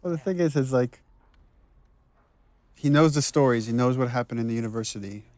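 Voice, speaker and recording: A man's voice: medium pitch, brisk, a little mellow, no echo. A man who wrote and directed the game, explaining story decisions in a thoughtful commentary chat with frequent fillers.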